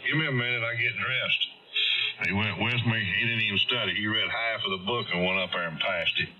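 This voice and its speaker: A man's voice: fairly low pitch, brisk, pushed and bright. A middle-aged or older man with a Southern US accent telling stories casually on ham radio in a plain-spoken, folksy way. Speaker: amateur radio operator. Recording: dull, noisy radio transmission.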